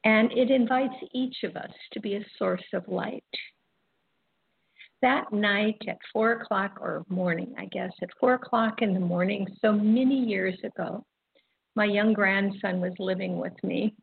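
Slow-speaking older woman, phone-like sound. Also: mid-range voice, steady tone, gravelly, slightly rough. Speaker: host of an online spiritual talk radio program. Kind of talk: reflective, informal monologue. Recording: dull, phone-like internet radio audio. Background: music.

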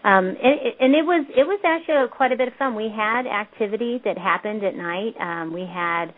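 A woman guest researcher chatting in a relaxed, rambling way, stopping now and then to recall names. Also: on the high side, brisk. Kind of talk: casual interview talk. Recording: tinny phone line.

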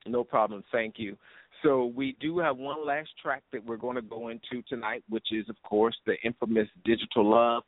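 A man's voice: mid-range voice, fairly even intonation, normal speed, dry recording. A man hosting an interview show in a casual, effusive, informal way, often repeating his phrases. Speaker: radio show host. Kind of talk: casual interview and sign-off shout-outs. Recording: muffled lo-fi internet radio call.

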